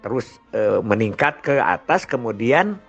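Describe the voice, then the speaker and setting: An older man with a Sundanese-region Indonesian accent tells his recollections in an informal, rambling monologue. His voice sits at a middle pitch. The recording is somewhat dull and lo-fi, with faint music behind him. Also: very animated, measured pace, breathy edge. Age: older, grandfather. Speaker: storyteller.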